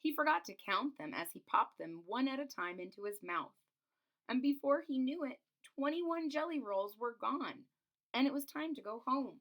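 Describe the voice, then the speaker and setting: A woman's voice: sits high, lively intonation, normal speed, fairly bright tone. A woman reads a children's picture book aloud expressively, adding simple explanations as a teacher would. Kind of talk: children's storybook read-aloud with asides.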